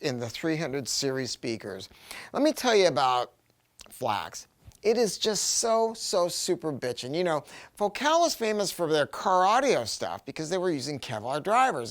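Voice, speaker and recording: A middle-aged American man giving a casual, enthusiastic product review in colloquial, emphatic language, with a rambling delivery. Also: a little high.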